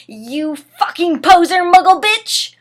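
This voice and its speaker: A woman voicing an overwrought, accusing teenage vampire boy, shouting melodramatically in a comedic story reading. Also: very high voice, animated, slow, voice pushed up, heavily acted. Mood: hurt fury.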